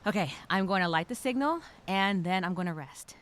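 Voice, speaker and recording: A woman playing a scared but determined castaway, speaking her survival journal as a halting, expressive dramatic monologue. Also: lowish voice, slight background noise, close to an everyday voice. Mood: hopeful, resolute.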